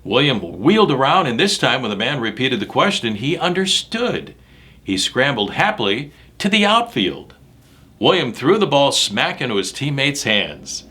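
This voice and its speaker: Male picture-book reader for kids: bright, punchy voice, highly animated intonation, emphatic and direct to the listener. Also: moderate pace. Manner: dramatic, emphatic, addressing young listeners directly. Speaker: storyteller reading a picture book aloud to children. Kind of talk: animated read-aloud with asides about the pictures.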